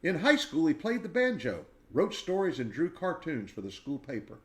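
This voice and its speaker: A man reading a children's biography aloud in an expressive storybook style, with dramatic emphasis and deliberate pauses. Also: on the high side.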